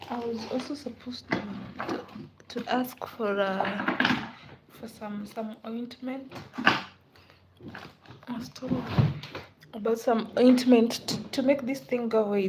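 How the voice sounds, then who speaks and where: A tired, unwell woman in a casual live stream, greeting viewers and chatting softly with frequent laughs, sometimes dropping to a whisper. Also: on the high side, very noisy. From Kenya. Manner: soft-spoken, laughs often, drifts into whispers. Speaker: livestreamer.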